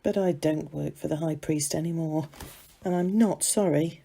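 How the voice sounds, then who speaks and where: A woman plays a serving maid from biblical times, telling her story in a confiding, conversational way. Her voice is husky and mellow, set rather low. She pauses for drama like someone passing on servants' gossip in a staged monologue. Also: exaggerated voice. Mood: reflective. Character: young gossipy serving maid in ancient Jerusalem, unrepentant, confiding.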